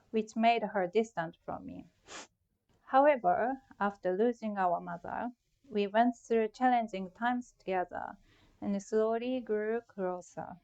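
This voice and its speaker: Measured Japanese-accented woman artist. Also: medium pitch, a little mellow, lo-fi sound. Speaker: artist giving a talk. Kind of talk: prepared artist talk presentation.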